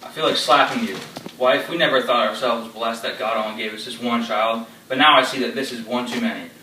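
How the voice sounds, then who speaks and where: A domineering, hot-tempered noble father, as a man plays him with a bright, forceful tone and a scolding, ranting manner. The recording is echoey and distant, with a faint hum of ambience behind it. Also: medium pitch, steady tone, a little fast, lightly acted. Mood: raging.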